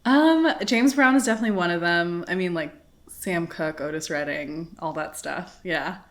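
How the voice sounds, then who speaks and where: A young woman from the Philippines, a cooking show host, chatting as a guest in a casual interview, bubbly and quick to laugh, with lots of fillers. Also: lowish voice. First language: Tagalog.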